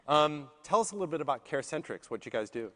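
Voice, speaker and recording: A hoarse man moderating a conference panel, subdued and polite as he introduces guests and asks questions. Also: on the high side, animated, fast, pushed and bright. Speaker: session moderator. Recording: long hall reverb.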